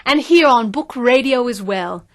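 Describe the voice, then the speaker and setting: Woman playing a perky, high-voiced radio co-host. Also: expressive, unhurried, dry recording, studio quality. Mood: wry. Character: perky, smooth-selling female book radio co-host. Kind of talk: satirical radio host patter.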